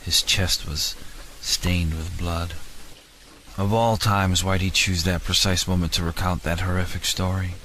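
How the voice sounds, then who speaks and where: A man's voice: low voice, little pitch movement, measured pace, sharp tone, a little hiss or hum, rough recording. A man narrating a dramatic first-person story as an audiobook narrator.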